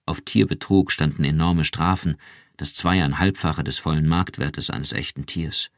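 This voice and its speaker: A man voicing a cautious husband who speaks carefully and quietly pleads. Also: extremely low pitch, fairly even intonation, dry recording, clean studio sound. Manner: careful, measured.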